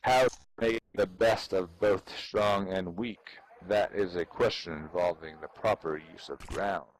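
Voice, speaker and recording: A man giving a solemn, deliberate dramatic reading of an old treatise in a declamatory manner. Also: deep voice, slight background noise, rough recording. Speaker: reader performing a dramatic reading.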